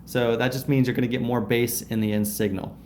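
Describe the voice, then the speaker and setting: A man's voice: medium pitch, fairly even intonation, fairly quick, a little mellow, slight background noise. A young man in his twenties giving a casual, upbeat tutorial to camera, explaining and gesturing as he goes, recorded on a close microphone in a small room. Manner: upbeat, explanatory, gestures a lot while talking. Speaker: video creator teaching audio tips. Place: small indoor room with shelves. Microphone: close shotgun mic on a stand in front.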